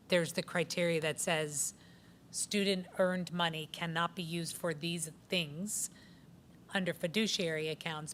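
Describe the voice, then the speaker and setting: A woman's voice: sits low, a bit bright, a little hiss or hum. A woman on a school board, losing her voice, pressing a question again and again. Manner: probing, presses questions repeatedly. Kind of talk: meeting discussion.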